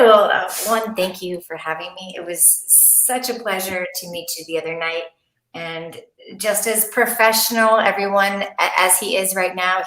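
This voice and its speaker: Female audition guest, roomy call sound, slightly low voice, gracious and effusive, casual delivery. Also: a bit bright. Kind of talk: casual introduction on a livestream. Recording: echoey room on a video call.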